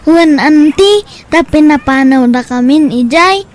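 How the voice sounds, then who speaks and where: A woman with an Ilocano accent playing a poor, dutiful young boy in an audio drama, speaking earnestly in a drawn-out way. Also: high voice, animated. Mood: respectful, dutiful.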